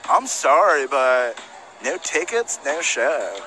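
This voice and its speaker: A comic game usher, easygoing yet skittish. His voice is high and slow, drawling along with wild swings in pitch. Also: big pitch swings, sharp tone, faint music behind, full character voice, low-quality recording. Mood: sympathetic, amused. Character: easygoing, sympathetic male ticket usher, skittish. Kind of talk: comic video game acting.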